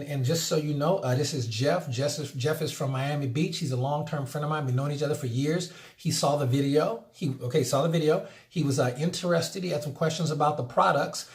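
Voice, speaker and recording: A man teaching network-marketing sales, talking casually and acting out phone conversations with energy. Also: on the high side. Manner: energetic, acts out phone scripts, many restarts. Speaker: MLM sales trainer. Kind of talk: casual instructional talk.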